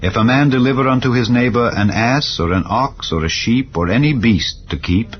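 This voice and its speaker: A man narrating scripture in a solemn, measured, declarative manner, as in a dramatized Bible reading. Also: mid-range voice, highs cut off. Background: clear background music.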